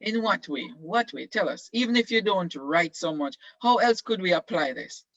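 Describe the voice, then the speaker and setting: Brief: male teacher, bright and high-pitched, halting encouraging questions, dull dry video call sound. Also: expressive, no echo. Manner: halting and encouraging, many ums, slow prompting questions. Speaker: teacher leading a class discussion. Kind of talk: online classroom discussion.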